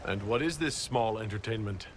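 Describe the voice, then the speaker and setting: An actor playing a courteous, good-humored leader of a fantasy order in a video game, gently teasing and sincere. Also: male voice, lowish voice, moderate pace, slight background noise, lightly acted. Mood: warm, teasing. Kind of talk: video game cutscene acting.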